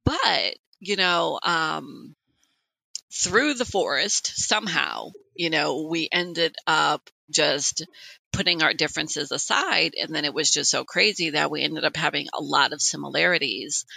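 A woman in her late forties co-hosting a podcast, telling personal stories in an animated, conversational way. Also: low voice, a little slow, vocal fry, no echo. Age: late 40s. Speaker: podcast co-host. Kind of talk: casual personal podcast chat.

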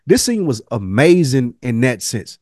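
Male podcast co-host, mid-pitched, dry recording, slangy and emphatic, repeats himself for stress. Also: sing-song, a little slow, darkish tone, dry recording. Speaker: podcast co-host reviewing a TV drama. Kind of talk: casual chat.

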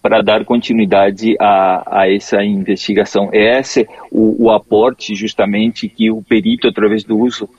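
Husky, low-voiced male expert on lo-fi link. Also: level tone, a little mellow, dry recording. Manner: hesitant, measured, restarts technical explanations. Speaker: forensic expert, interview guest. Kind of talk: explanatory interview answers.

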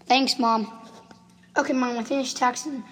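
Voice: medium pitch, fairly even intonation, normal speed, fairly bright tone, natural voice. A performer playing an earnest, insistent schoolboy in an amateur radio drama. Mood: sulky, worried. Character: Timmy, earnest schoolboy who insists on what he saw. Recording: home recording with clear noise and clicks.

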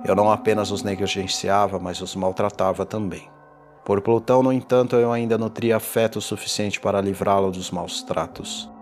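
A man playing a tormented condemned man in a gothic horror audio drama, confessing in a formal, theatrical and deliberate delivery. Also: on the low side, fairly even intonation, lightly acted. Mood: dark, remorseful. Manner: theatrical, deliberate, ornate diction. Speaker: narrator. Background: music.

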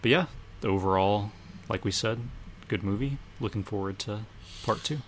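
A man co-hosting a podcast, giving measured opinions on films in casual conversation. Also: lowish voice, slight background noise. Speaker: podcast co-host. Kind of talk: casual chat about films.

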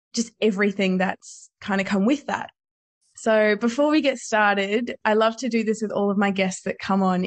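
An enthusiastic woman speaks as a podcast host, with a medium-pitched voice. She is effusive and chatty, talking casually. The sound is close and dry, with very little room to it. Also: no echo. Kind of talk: casual interview chat.

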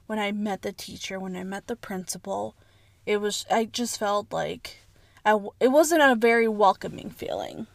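Young woman, native Spanish speaker, earnest and reflective co-host, mid pitch, dry close sound. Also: normal speed, gravelly, dry recording. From United States. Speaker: podcast co-host. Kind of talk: casual chat.

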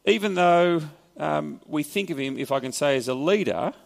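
A man's voice: a little high, expressive, normal speed, gravelly, big-room sound. A man with an Australian accent preaching a sermon, explaining scripture in a conversational way with wry asides. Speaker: pastor. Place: church hall.

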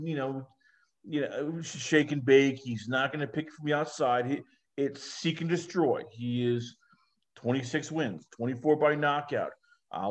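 A man interviewing a boxer, asking long rambling questions padded with fillers. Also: mid-range voice. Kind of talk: sports interview.

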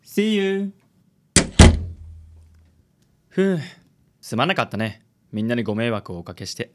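An actor playing a gallant, self-admiring handsome man, smooth-talking and theatrically cool, sprinkling English words in a radio comedy. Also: mid-range voice, big pitch swings, moderate pace, natural voice. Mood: suave, unruffled. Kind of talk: radio comedy drama acting.

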